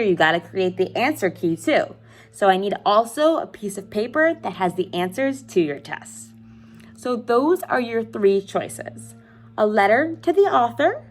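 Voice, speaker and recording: A woman schoolteacher explaining an assignment to young students in an informal, encouraging way, speaking to them directly and posing questions. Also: medium pitch. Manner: encouraging, instructional, with rhetorical questions; informal, addresses listeners directly.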